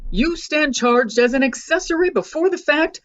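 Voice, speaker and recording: A woman playing a brisk, wry police inspector in a radio drama, slightly dull recording. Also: high-pitched, fairly bright tone, faint music behind. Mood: stern, official. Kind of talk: old-time radio drama acting.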